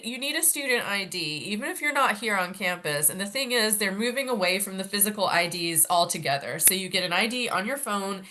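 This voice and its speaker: A woman's voice: mid-range voice, bright, forceful tone. A woman with a head cold, sniffling as she hosts a casual virtual orientation panel, talking loosely with many fillers over a video call. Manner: chatty, rambling, many fillers. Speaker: orientation host, graduate life staff.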